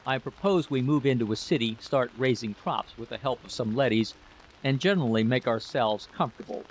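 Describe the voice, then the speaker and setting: A man reading the part of a gruff, grudging American military man in a story. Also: mid-range voice, fairly quick, a little hiss or hum, lightly acted, low-quality recording. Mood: grudging resolve.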